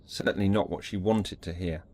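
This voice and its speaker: A man's voice: deep voice, fairly even intonation, moderate pace, a little mellow. A man giving a scripted, dramatic narration of an audiobook story.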